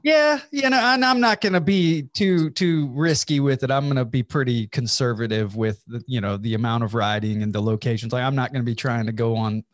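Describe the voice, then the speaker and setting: A man's voice: medium pitch, fairly quick, hardly any pauses, no room sound, studio quality. A man in his late thirties, a pastor, talking in an easygoing, friendly way as a podcast guest. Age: 30s. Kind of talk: casual interview chat.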